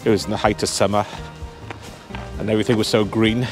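Male travel vlogger, 50s, casual walk-and-talk outdoors, lowish voice. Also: little pitch movement, quick pace, music in the background. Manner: chatty, talking straight to camera while walking. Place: outdoors on a cold hillside. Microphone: handheld camera at arm's length.

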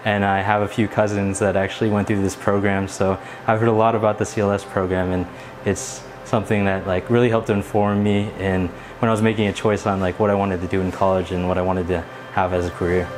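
A man's voice: deep voice, little pitch movement, brisk, slight background noise, rough recording. A young man answering interview questions as a student in a university lab, earnest with loose fillers. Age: young adult.

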